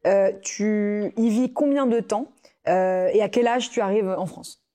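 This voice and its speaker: A woman hosts a podcast, her voice mellow and warm at a mid pitch. She asks probing questions in an easy, conversational way. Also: measured pace. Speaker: podcast host. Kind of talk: interview questions.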